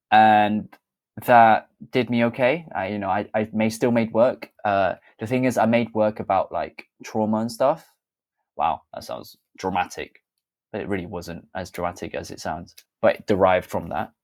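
A young British man answers interview questions in a low voice. He talks candidly and thoughtfully about his work as a dancer. He often breaks off to correct himself and adds small fillers. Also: darkish tone. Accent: British English. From Britain. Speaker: dancer and theatre maker. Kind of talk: interview answer, reflective storytelling.

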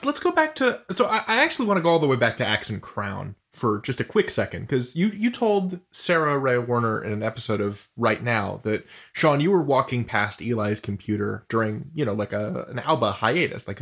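A man's voice: medium pitch, fairly bright tone. A man hosting a casual interview, asking questions in a playful, teasing way. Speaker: interviewer, podcast host. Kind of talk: casual joking interview chat.

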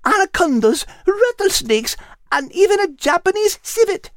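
Little female dog, very high voice, chatty, tender, animated, storybook character. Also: normal speed, dry recording, studio quality. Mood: excited.

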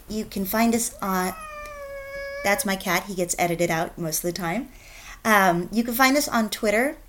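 A woman with a mid-pitched voice talks straight to podcast listeners. She is a voice actor giving a casual announcement in everyday, conversational speech.

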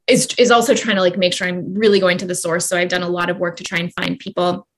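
A woman's voice: fairly low pitch, little pitch movement, fast. A woman from Canada, speaking as an interview guest in a long, reflective way with many fillers and restarts. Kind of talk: conversational interview.

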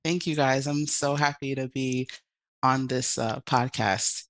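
A woman's voice: rumbling low, steady tone, a little slow, a little hiss or hum. A young woman from the Chicago area, answering interview questions as a podcast guest in an upbeat, playful way. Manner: upbeat, playful, laughs while talking. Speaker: guest, architectural designer.

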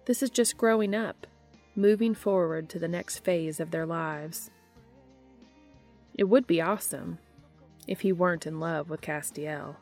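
A woman's voice: lowish voice, measured pace, creaky, pauses often, a little mellow, soft background music. A woman hosting a podcast, reading a story aloud in scripted audiobook-style narration. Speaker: podcast host reading fan fiction aloud.

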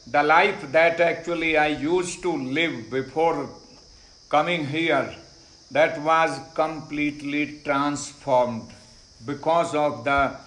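A middle-aged Indian man whose first language is Hindi, telling his faith story slowly and deliberately, pausing on many "uh"s and repeating phrases. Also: on the high side, a bit bright, roomy sound, rough recording. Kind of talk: unhurried personal testimony. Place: ashram in India.

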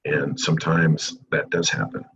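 A middle-aged American man, a real estate agent, answering interview questions at length in a calm, measured way. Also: breathy edge, highs cut off. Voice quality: calming low voice. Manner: calm, measured, long-winded explanations.

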